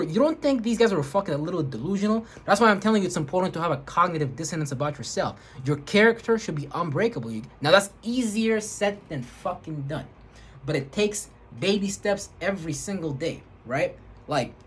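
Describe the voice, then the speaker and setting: A man's voice: high-pitched, breathy edge. A man vlogging an emphatic, rambling spiritual rant, swearing heavily and repeating words for emphasis. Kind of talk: profane spiritual rant to camera.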